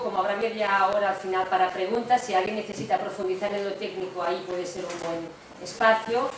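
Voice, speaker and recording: A woman lecturer, a native Catalan speaker with a Catalan accent, giving a conversational, explanatory public talk punctuated by tag questions. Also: medium pitch. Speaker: university economics lecturer, guest speaker. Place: lecture room with strong echo. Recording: very echoey room, distant mic, noisy.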